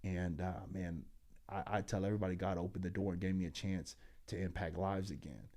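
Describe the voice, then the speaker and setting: Fired-up low-voiced man telling his story. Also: a little fast. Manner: animated, slangy storytelling that climbs loud when excited. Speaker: podcast guest, pastor and speaker. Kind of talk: casual podcast storytelling.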